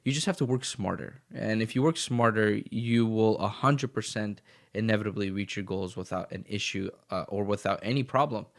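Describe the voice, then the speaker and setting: Man, podcast host, low voice, sniffly, rambling motivational talk, close mic. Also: normal speed. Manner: rambling and motivational, with frequent restarts. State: sniffly, recovering from flu. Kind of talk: casual solo podcast monologue.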